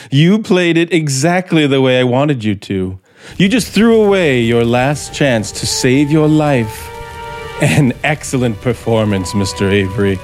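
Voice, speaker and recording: Actor as slow, bitter, menacing American scriptwriter. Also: male voice, medium pitch, darkish tone, close to an everyday voice. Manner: theatrical, sardonic, deliberate. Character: bitter, menacing frustrated male radio scriptwriter plotting murder. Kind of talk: 1950s American radio drama acting. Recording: vintage 1950s radio recording with music.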